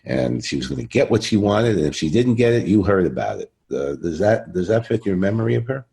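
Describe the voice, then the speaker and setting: A man hosting a casual, chatty interview in an effusive way, repeating phrases for emphasis, with a gravelly voice. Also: low voice, big pitch swings, brisk, warm tone. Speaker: interview host.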